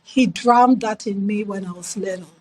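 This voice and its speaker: A middle-aged woman with a Ghanaian accent, telling her life story as an interview guest in a measured, reflective way with emphatic repetition. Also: medium pitch.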